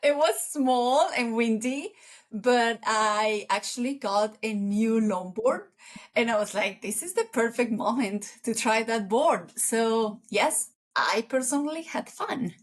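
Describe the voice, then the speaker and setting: Slow-spoken woman, higher voice. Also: expressive. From Colombia. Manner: unhurried, searching for words, many fillers. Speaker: guest surfer. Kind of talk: casual interview chat.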